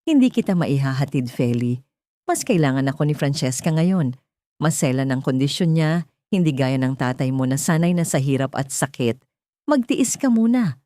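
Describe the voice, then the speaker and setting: A woman's voice: sits low, lively intonation, moderate pace, mellow, no echo, clean studio sound. A woman voicing a callous, curt and unsympathetic fiancé in a storybook drama. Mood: dismissive. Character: cold, dismissive man, callous fiancé.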